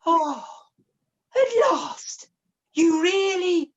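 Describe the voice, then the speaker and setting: A woman's voice: very high-pitched, drawn-out words, lo-fi sound, full character voice. A woman playing a cantankerous, hard-of-hearing old invalid mother in a pantomime, scolding and melodramatic. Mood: scornful dismissal. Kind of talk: pantomime acting with sung passages. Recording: amateur video-call recording.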